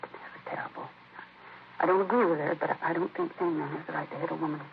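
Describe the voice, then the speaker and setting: An American actress playing a distraught, disapproving, defensive grieving sister in a 1950s radio crime drama, speaking in a hesitant, confiding way. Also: female voice, sits low, fairly even intonation, fast, creaky. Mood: agitated, recounting. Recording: muffled, noisy vintage radio recording.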